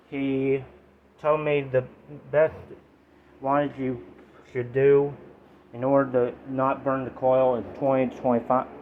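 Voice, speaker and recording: Nasal young man on tinny audio. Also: mid-range voice. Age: 20s. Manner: halting, rambling delivery in short fragments. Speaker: YouTube vlogger reviewing products. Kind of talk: casual chat to camera, informal product review. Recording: tinny phone-like audio with background noise.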